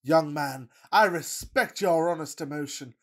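An actor playing a pompous, lovestruck rich young gentleman, melodramatic and self-regarding, in a farcical radio play. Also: a little high, a little slow. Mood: gushing.

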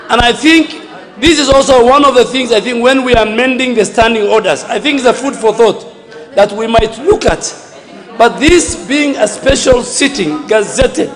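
A middle-aged man, a member of parliament raising a point of order at a desk microphone, deliberate and then loud and insistent. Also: very high-pitched. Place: large parliament chamber. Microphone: desk microphone, standing. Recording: long hall reverb.

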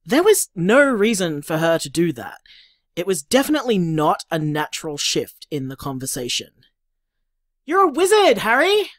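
Woman acting upbeat young Australian partner, slightly low pitch, lively intonation, breezy, emphatic, teasing, audio drama. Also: moderate pace, no echo, studio quality. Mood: excited. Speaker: podcast drama actor. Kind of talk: naturalistic audio drama, voicemail monologue.

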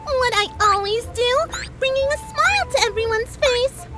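A woman voicing a bubbly, naive young female cartoon pony with a squeaky, giggly, excitable delivery. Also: normal speed. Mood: cheerful. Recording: loud music behind the voice.

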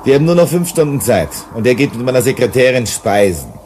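A man's voice: medium pitch, sing-song, fast talker, noisy background, natural voice. An actor playing an impatient, disgruntled male company boss in a German science-fiction radio drama. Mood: irritated. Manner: disgruntled, authoritative.

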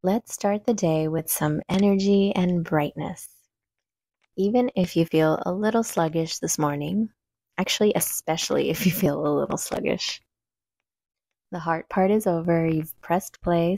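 Slow, mellow woman guiding meditation. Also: on the low side, gravelly, no echo. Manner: calm, soothing, unhurried instruction. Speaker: meditation guide. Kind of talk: guided meditation.

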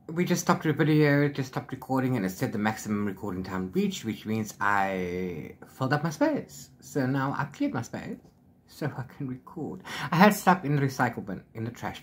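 A middle-aged man with a medium-pitched voice talks casually to the camera as a book vlogger. He rambles through his retelling in an animated way, tossing in little filler syllables as he goes. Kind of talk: casual chat recapping a book. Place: small book-lined room at home.

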